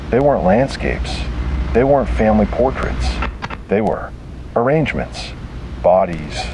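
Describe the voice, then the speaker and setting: A man with a low voice narrates a horror tale as a scripted read. His delivery is slow and deliberate, broken by dramatic pauses. A clear layer of noise sits under the recording.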